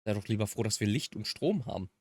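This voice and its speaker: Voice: medium pitch, expressive, fast, darkish tone, slightly rough, no echo, clean studio sound. A German native speaker giving casual gaming commentary, slipping between German and English. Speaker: let's player. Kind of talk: gaming commentary mixed with voiced read-aloud of dialogue.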